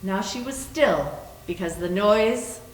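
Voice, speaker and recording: A woman's voice: medium pitch, expressive, slow. A woman giving a dramatic scripted narration as a readers theater performer. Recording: echoey room, distant mic.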